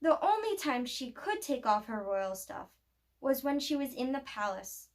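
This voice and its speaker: A woman reading a children's picture book aloud as a storyteller, with expressive, deliberate phrasing. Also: sits high.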